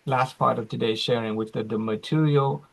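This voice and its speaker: A man hosting an online class on a video call, slow and reflective, with frequent fillers. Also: medium pitch, steady tone, vocal fry.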